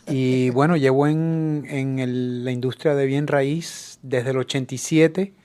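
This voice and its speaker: A man's voice: medium pitch, fairly even intonation, a little slow, darkish tone, smooth. A middle-aged man from New York with Cuban parents, a guest on a radio show explaining industrial real estate with many fillers. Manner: hesitant, lots of fillers. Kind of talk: radio interview.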